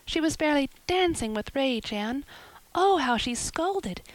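Woman voicing an excitable young girl. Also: sits high, dry recording, voice pushed up, low-quality recording. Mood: excited. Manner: quick, excitable, girlish.

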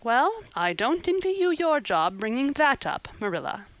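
A woman's voice: mid-range voice, big pitch swings, a little fast, fairly bright tone. A woman voicing a blunt, easily offended village lady in a storybook, outspoken and emphatic. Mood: horrified.

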